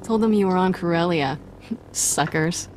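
An actress playing a plucky young Jedi apprentice in a video game cutscene, wry and irreverent. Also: female voice, fairly low pitch, measured pace, darkish tone, a little hiss or hum, natural voice, poor audio. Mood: cocky, defiant.

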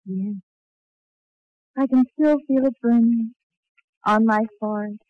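An actress playing an affectionate, encouraging young sweetheart in a 1940s radio comedy. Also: female voice, a little high, steady tone, unhurried, dry recording, narrow, tinny audio, natural voice. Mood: tender.

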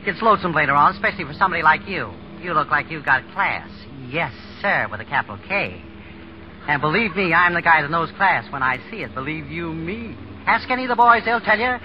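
Male actor for a cocky fast-patter skirt-chaser, very animated, 1930s radio play, noisy old recording. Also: fairly high pitch, highs cut off, lightly acted. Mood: cocky flirting. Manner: slangy, boastful, repeats catchphrases. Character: brash, pushy, wisecracking male bus masher.

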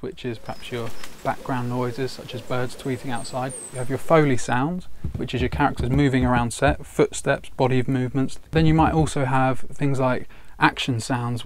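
A man's voice: mid-range voice, slight background noise. A young man, explaining his sound work in an interview. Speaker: sound designer. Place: small dark studio room. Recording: music behind the voice. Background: music.

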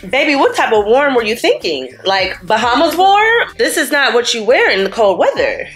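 A woman's voice: a little high, sing-song, moderate pace, fairly bright tone, faint music behind. A woman in her thirties chatting casually to camera in a hotel room, teasing and telling stories with quoted asides. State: sniffly. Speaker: vlogger and mother. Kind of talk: casual vlog chat to camera.

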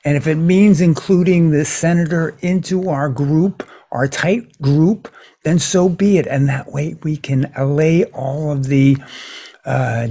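Improv comedy senator, male performer: slippery schemer, hesitant stammer, soft-edged husky tone. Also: on the high side, heavily acted. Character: scheming, evasive male senator pushing an absurd bill.

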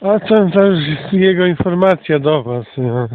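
A man, hosting a casual outdoor narrated walk for his channel and pausing often to think as he comments on what is around him. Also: a little high, animated, no room sound. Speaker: channel host. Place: outdoors, walking through countryside. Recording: muffled phone-like narrow-band sound.

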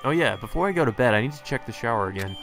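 A man with a mid-pitched voice hosts a visual novel let's play. He reads the narration aloud and drops in casual commentary. Game music plays clearly behind him. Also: animated, rapid-fire, a bit bright.

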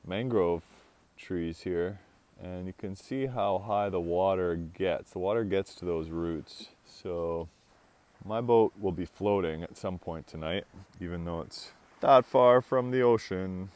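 A Canadian man in his thirties, tired after a long paddle, chatting casually to camera from a jungle beach on a handheld camera mic, relaxed and self-mocking. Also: low-pitched, takes it slowly. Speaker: vlogger, long-distance sea kayaker. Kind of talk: casual travel vlog to camera. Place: outdoors on a jungle beach by the sea.

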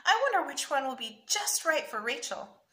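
A woman voicing a patient, gentle storybook mother who reasons kindly with her young boy. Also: sits high, sing-song, moderate pace, voice pushed up. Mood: cheerful. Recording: roomy echo, faint noise.

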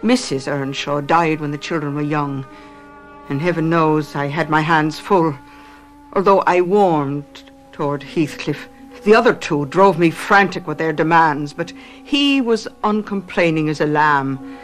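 A woman's voice: deep voice, a little slow, faint music behind. An actress playing a devoted, plain-spoken housekeeper telling her story earnestly in a radio drama. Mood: reflective. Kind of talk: radio drama acting and narration.

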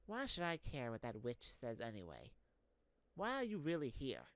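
A woman's voice: extremely low pitch, huge pitch swings, moderate pace, no echo, full character voice. A woman playing a curt, dismissive, impatient king in a fantasy audio roleplay. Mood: irritated, bored. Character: gruff, bored, impatient king on his throne. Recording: faint background noise.

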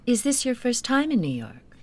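A woman's voice: fairly high pitch, very animated, normal speed, darkish tone, breathy edge, no echo. A woman reading a scripted coursebook dialogue as a businesslike magazine editor.

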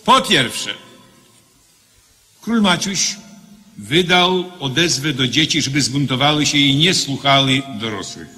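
A stern judge in a radio drama, declaiming formally. His bright voice rings in a long hall reverb and swings widely in pitch. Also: animated, slow pace, long pauses. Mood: solemn, accusing. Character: stern male presiding judge of a military tribunal. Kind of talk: Polish radio play acting.

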